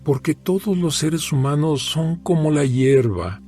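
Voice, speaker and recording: A man giving a solemn, measured scripted reading of scripture as a narrator. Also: on the high side, lively intonation, dark tone. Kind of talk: scripted dramatized Bible reading. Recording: music bed under the voice. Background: music.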